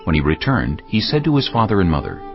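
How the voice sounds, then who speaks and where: A man's voice: sits low, huge pitch swings, quick pace, gravelly. A man narrating scripture in a dramatic, scripted style. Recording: dull lo-fi audio with music. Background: music.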